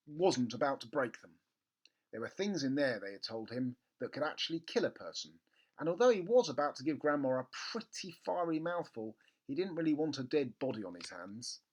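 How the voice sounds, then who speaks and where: A man narrating a children's book expressively, with theatrical emphasis and comic pauses. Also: medium pitch. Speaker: storybook reader.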